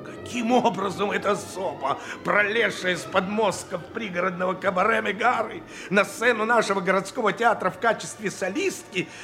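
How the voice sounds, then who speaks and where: A man's voice: sits high, moderate pace, hardly any pauses. An actor playing a pompous, bombastic male orator, declaiming indignant accusations in theatrical audio drama style. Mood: insinuating, outraged. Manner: pompous, declamatory, rhetorical questions. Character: bombastic male orator haranguing city fathers with scandalous accusations.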